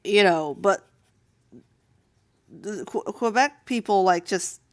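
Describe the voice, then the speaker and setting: Female art streamer, mid-pitched and clear, rambling informally with an amused, animated manner, very dry room. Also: takes it slowly, dry recording. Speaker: comic artist streaming while drawing. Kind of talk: casual rambling livestream chat.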